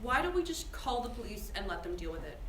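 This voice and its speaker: A woman's voice: mid-range voice, very animated, quick pace, natural voice. Woman reading a sarcastic teenage girl, roomy and distant. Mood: casual, frustrated. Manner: sarcastic, informal. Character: sarcastic, exasperated teenage high school girl in trouble. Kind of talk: screenplay table read. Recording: roomy, distant mic with background hiss.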